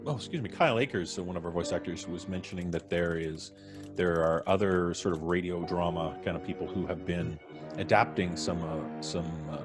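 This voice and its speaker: Man, deep voice, live-stream host, jokey casual chat, music clearly underneath. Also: brisk. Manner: jokey, informal. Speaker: host of a horror audio drama podcast live stream.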